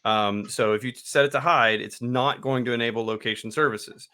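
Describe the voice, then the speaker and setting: A man co-hosting an IT training video, explaining settings in a halting, loose way with many restarts. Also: medium pitch, steady tone, bright, forceful tone, clear, resonant tone. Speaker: IT trainer, co-host. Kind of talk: casual tech explanation.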